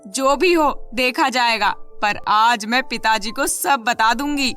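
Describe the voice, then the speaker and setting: A woman voicing a mistreated, kind-hearted young girl in an animated children's moral story, earnest in manner. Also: fairly high pitch, slow pace, soft background music. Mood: aggrieved. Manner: earnest, pleading.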